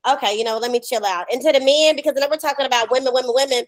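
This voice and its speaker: A woman with a high voice and a dry, close sound hosts a casual podcast. Her delivery is animated and sassy, full of slang. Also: rapid-fire, no room sound. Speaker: podcast host. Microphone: video call.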